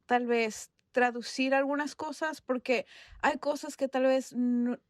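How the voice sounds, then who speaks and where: A woman's voice: high voice, steady tone, unhurried, dry recording, clean studio sound. A woman from Mexico co-hosting a casual podcast, laughing often and dropping English words into her Spanish. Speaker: podcast co-host. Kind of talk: casual chat.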